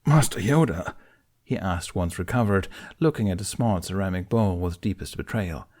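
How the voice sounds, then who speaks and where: A man narrating fan fiction in a gentle, even storytelling manner. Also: low-pitched, normal speed.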